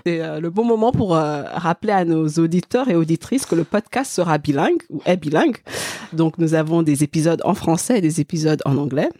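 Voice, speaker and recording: A woman's voice: low voice, fairly quick, mellow, no room sound. A woman co-hosting a conversational podcast, thoughtful and explanatory. She often stops to rephrase her point as she speaks. The sound is close and dry, with no room around it. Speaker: podcast co-host.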